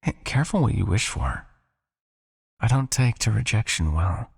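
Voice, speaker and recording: A man playing a possessive, teasing vampire captor in an intimate ASMR roleplay, coaxing the listener with a creaky voice. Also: fairly low pitch, big pitch swings, heavily acted. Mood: coolly menacing, coaxing. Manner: coaxing, teasing, with pauses, sighs and murmured asides.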